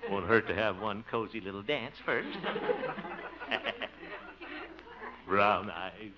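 A man's voice: on the low side, very noisy, lightly acted. An American man acting in a 1950s radio comedy as a bashful, flustered middle-aged bachelor who stammers and chuckles, on an old muffled recording. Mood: bashful, smitten. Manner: stammering, chuckling bluster, drawn-out words.

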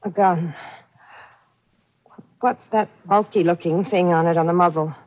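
An actress playing an anxious, trusting lover and accomplice in a 1940s radio mystery, earnest and melodramatic. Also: female voice, fairly low pitch, steady tone, a little slow, natural voice. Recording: muffled old radio broadcast.

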